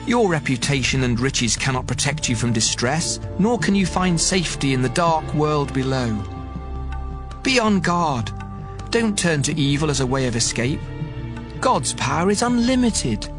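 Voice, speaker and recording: A man's voice: a little high, very animated, moderate pace. An actor playing a devout man who earnestly declaims his awe of God's power in a dramatized audio Bible. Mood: earnest warning. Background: music under the voice.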